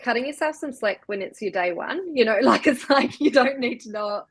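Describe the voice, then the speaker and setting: Woman from New Zealand, business guest, thoughtful and halting, dry close mic. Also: on the high side, expressive, breathy edge, no echo. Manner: reflective, many fillers and restarts. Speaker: co-founder and co-CEO, guest. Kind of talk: casual interview answers.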